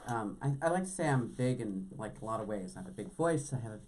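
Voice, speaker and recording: A woman's voice: extremely low pitch, lively intonation, fast talker, darkish tone. A woman co-hosting a casual beading demonstration, chatting in a musing, self-assured way. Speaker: co-host. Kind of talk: casual chat, craft demonstration.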